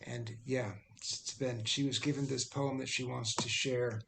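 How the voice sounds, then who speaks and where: A man hosting an online poetry gathering, introducing a guest in a relaxed way with pauses. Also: medium pitch, vocal fry, a little hiss or hum. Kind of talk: casual spoken introduction. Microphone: video call.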